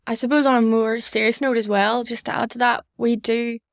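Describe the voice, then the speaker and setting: A woman's voice: on the high side, level tone, brisk, gravelly, dry recording, clean studio sound. A young woman, chatting earnestly as an interview guest. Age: young adult. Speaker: podcast guest, student society organiser. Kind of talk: conversational interview answers.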